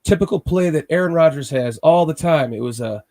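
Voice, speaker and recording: A man hosting a casual sports podcast. He has a cold and sounds slightly raspy, and he rambles with frequent restarts, like a nervous fan. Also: a little high, expressive, fairly quick, warm tone. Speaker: podcast host.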